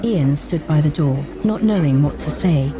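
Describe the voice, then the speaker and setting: A woman's voice: low-pitched, animated, normal speed, music in the background. A woman reading a scripted story as an audiobook narrator.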